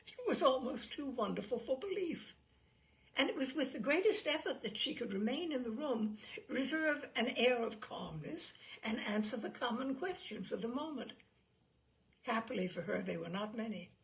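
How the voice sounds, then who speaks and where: Woman reading an old novel aloud, lots of pitch movement, some fry in the voice. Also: expressive, moderate pace, low-quality recording. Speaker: audiobook narrator. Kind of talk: expressive literary narration.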